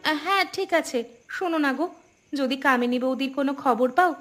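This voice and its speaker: A woman's voice: high voice, normal speed, fairly bright tone. A woman voicing a concerned village wife in a cartoon drama, questioning and insistent. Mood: concerned, probing. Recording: music clearly behind the voice.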